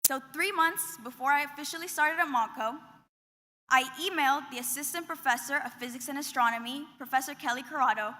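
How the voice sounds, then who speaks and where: A young woman from Qatar delivers a graduation address at a podium microphone. Her voice sits high and sounds clear and bright, and her delivery is earnest and measured. A long reverb trails each phrase through the big ceremony hall. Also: a little hiss or hum. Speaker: student commencement speaker. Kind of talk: prepared graduation speech. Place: large commencement hall.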